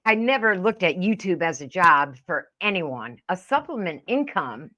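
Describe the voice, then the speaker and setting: A woman's voice: on the low side, very animated, moderate pace, pushed and bright, lo-fi sound. A middle-aged woman giving casual, profane reaction commentary in a blunt, indignant, scolding manner. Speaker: reaction video host.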